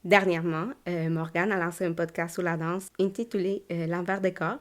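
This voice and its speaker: A woman's voice: on the low side, flat delivery, a little fast. A woman with a Québécois French accent hosting a podcast, reading a scripted intro, then chatting with many fillers and restarts. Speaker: podcast host.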